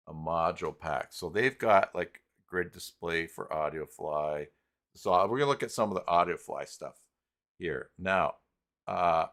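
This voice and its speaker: A man hosting an unscripted live software tutorial, talking haltingly as he thinks aloud while working. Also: sits low. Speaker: host of live tutorial stream.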